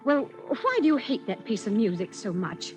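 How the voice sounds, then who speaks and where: An actress playing a sharp, suspicious wife, insistent and theatrical in 1940s radio drama style. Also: female voice, on the high side, lively intonation, moderate pace, soft background music, lightly acted. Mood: suspicious, anxious.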